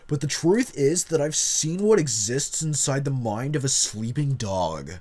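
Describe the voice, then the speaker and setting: A grave narrator of creepy horror tales, put on by a man reading aloud, speaks in a deliberate, theatrical way. The voice sits at a medium pitch and treats each line as dark drama. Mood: ominous.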